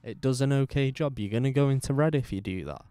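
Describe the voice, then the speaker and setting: A podcast host with a bassy, slightly gravelly voice, talking casually and rambling, repeating himself, on a dynamic microphone that he moves closer and farther. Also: fast talker, dark tone, dry recording, faint music behind. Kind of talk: casual talk about microphone settings. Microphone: dynamic microphone, distance varies.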